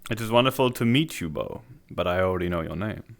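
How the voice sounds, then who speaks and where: A man playing a suave businessman in a suit, speaking in a smooth, formal and faintly sly way. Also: low voice, expressive, quick pace. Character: suave suited businessman with groomed hair. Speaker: streamer roleplaying a character. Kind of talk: improvised social-VR roleplay.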